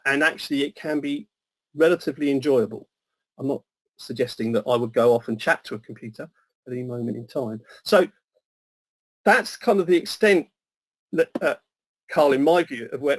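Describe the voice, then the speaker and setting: Guy talking as a webinar guest, kind of rambly, lots of ums, polite. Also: mid-range voice. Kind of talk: casual webinar discussion.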